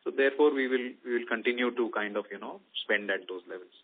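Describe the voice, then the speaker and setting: Indian exec guy on a conference call, hesitant explainer, phone-quality sound, average pitch. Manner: hesitant, halting, with frequent "you know" and "let's say". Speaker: company executive answering analysts' questions. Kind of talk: earnings call answers, explanatory. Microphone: telephone conference call. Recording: tinny narrow phone line.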